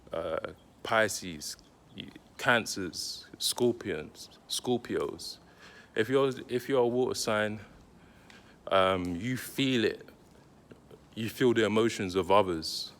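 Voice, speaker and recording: Slow-talking man giving spiritual advice. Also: low-pitched, a bit bright. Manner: slow, deliberate, reflective delivery with pauses. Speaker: spiritual content creator. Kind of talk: casual spiritual advice monologue.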